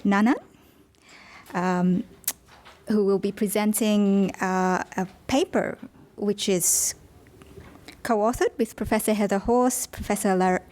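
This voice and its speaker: A woman chairing a conference session, giving a formal introduction in a halting way that trips over words. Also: medium pitch, fairly even intonation, darkish tone. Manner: halting, stumbles over names.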